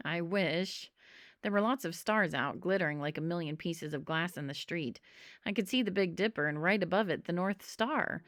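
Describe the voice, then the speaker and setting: A woman reading a children's storybook aloud in an expressive narrating style. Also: lowish voice, a little fast, no echo, clean studio sound. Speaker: storybook reader.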